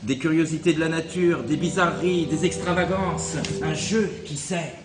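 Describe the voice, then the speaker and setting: A man playing a calm, lecturing man. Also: a little high, lightly acted. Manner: measured, lecturing, reassuring. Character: calm, reasoning man who talks down to a friend. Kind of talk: stage play acting. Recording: echoey stage from far off, music.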